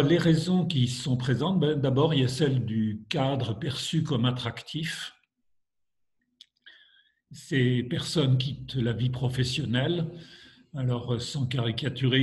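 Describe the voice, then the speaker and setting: A man's voice: medium pitch, darkish tone. Lecturing man with a head cold. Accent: French. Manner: measured, hesitant with frequent fillers. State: has a head cold, coughing. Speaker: university lecturer. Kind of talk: academic lecture.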